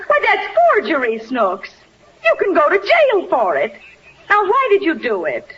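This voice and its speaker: A woman's voice: high voice, wildly swooping pitch, unhurried, exaggerated voice. A woman playing an exasperated, stern but easily flustered schoolteacher in a 1940s radio comedy, precise and indignant. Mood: shocked, indignant. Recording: dull, hissy 1940s radio broadcast.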